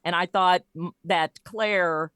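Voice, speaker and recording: A woman speaking as an interview guest in casual chat, telling stories in an animated way and acting out quoted lines. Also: fairly low pitch, slow, no echo, clean studio sound. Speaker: guest, writer of a comedy audio show.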